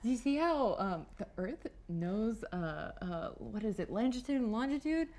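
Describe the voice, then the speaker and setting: A woman's voice: fairly high pitch, lively intonation, normal speed, darkish tone, a little hiss or hum. A woman playing a tabletop game, bantering casually and playfully, half in character.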